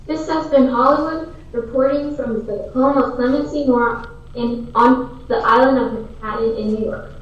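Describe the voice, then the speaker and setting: Woman as a high-voiced, very echoey news reporter. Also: steady tone, normal speed, lightly acted. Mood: solemn. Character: earnest female on-the-scene radio news reporter. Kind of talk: mock news report in radio play. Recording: very echoey, distant, muffled.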